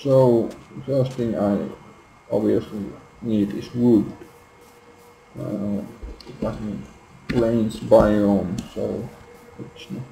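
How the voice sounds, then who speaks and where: A young man with a Finnish accent, quietly and hesitantly commenting on his own game play, swearing often, recorded on a noisy laptop microphone at home. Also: on the low side, steady tone, extremely slow, vocal fry, long pauses, echoey room. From Finland. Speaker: gameplay video maker. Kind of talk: casual gameplay commentary. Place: home room. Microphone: built-in laptop mic.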